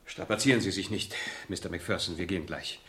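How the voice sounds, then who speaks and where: An actor playing an arrogant, irritable murder suspect who is brusque, mocking and bossy, in a 1970s radio crime drama. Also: male voice, sits low, quick pace, a bit bright, a little hiss or hum. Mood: curt, condescending.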